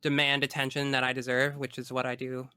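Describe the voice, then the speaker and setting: A man talking casually and emphatically as a podcast guest, in an expressive, informal way. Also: medium pitch, a little fast, smooth, dry recording, clean studio sound. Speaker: podcast guest, tabletop game podcast creator. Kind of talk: casual interview chat.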